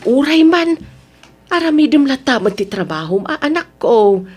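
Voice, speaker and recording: A firm middle-aged mother in an audio drama, fairly high and very animated in pitch. Her Ilocano Filipino speech is motherly and admonishing, with a humble, patient temper. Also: normal speed. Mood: exasperated. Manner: scolding, motherly.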